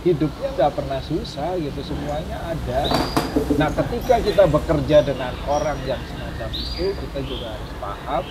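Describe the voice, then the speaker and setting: A man moderating an online seminar discussion, speaking haltingly, repeating himself and often checking in with short tag words. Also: a little high, expressive, very noisy. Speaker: webinar moderator or panelist.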